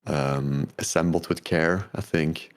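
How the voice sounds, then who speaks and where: A man talking as a guest on a podcast, relaxed and easygoing, laughing easily and filling his speech with "like" and "um". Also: a bass voice, takes it slowly. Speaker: podcast guest, game developer. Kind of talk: casual interview chat.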